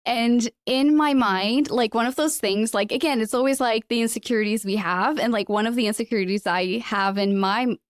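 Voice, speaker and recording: Chatty woman host, higher voice. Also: normal speed, few pauses, clean tone, dry recording, clean studio sound. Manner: chatty, many "like" fillers, laughs often. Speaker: podcast host. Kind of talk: casual conversational interview.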